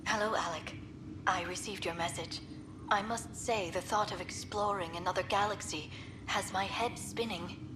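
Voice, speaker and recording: An actress playing a polite, scholarly young alien archaeologist in a video game, speaking in an earnest, formal and courteous way. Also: female voice, mid-range voice, steady tone, normal speed, noisy background, natural voice. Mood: warm, wistful. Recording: music playing behind.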